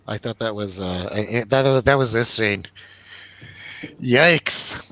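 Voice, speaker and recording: A man's voice: on the low side, slow pace, pushed and bright, no room sound. A man hosting a casual film review chat, talking in a loose, hesitant, easygoing way over a slightly lo-fi recording. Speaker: host of a film review show.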